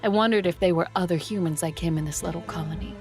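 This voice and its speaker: Woman acting a low-voiced haughty vampire conqueror. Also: fast, a little mellow, lightly acted. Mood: cold, disdainful. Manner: imperious, disdainful. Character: haughty two-thousand-year-old female vampire conqueror. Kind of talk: audio drama narration and acting. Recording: background music under voice.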